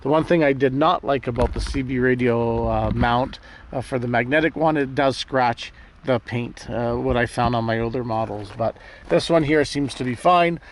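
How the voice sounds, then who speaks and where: A man's voice: medium pitch, normal speed, a little hiss or hum. A man hosting a vlog, chatting casually and a bit ramblingly to his viewers as he reviews gear. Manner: chatty, rambling, addresses viewers as guys and gang. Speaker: host of a car gear video series.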